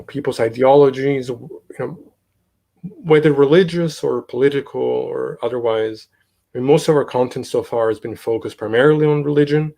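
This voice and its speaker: A young man from Italy, talking casually as an interview guest, halting with many fillers and restarts. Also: medium pitch, gravelly, a little mellow. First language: Italian. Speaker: guest, podcast host.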